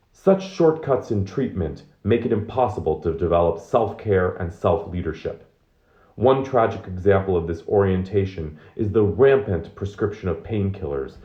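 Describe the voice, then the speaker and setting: A man's voice: low voice, lo-fi sound. A man reading nonfiction aloud as narration, in a roomy, echoing recording. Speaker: reader of a book excerpt.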